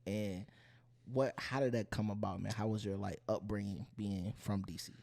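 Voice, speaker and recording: A man hosts a podcast and keeps affirming his guest with short, supportive replies. His voice is low and mellow, and he talks in a relaxed, casual way. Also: moderate pace, creaky. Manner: affirming, chimes in with "absolutely" and "I feel that". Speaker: podcast host. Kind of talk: casual interview chat.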